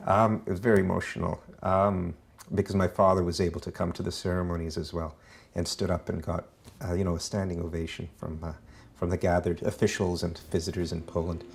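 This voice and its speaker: A middle-aged man from Canada, a foundation president, recounting his family's history in a measured way with many ums and uhs. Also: low voice, little pitch movement, vocal fry.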